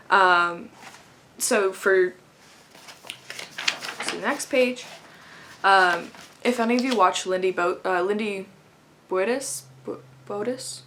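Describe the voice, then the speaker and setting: A woman's voice: medium pitch, a bit bright. A young woman chatting casually to camera, rambling with frequent laughs. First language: English. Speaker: vlogger.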